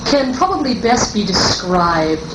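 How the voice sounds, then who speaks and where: Slow-spoken woman on a noisy old cassette. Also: mid-range voice, very animated. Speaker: game world designer. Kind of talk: group interview discussion. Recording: noisy roomy 1982 cassette tape.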